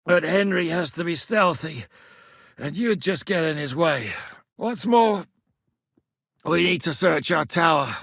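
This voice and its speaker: A wounded, bitter war leader in a game. He talks slowly in a fairly high voice, recorded dry and close. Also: lively intonation, creaky, fairly bright tone, no room sound, exaggerated voice. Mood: grim. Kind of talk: video game voice acting.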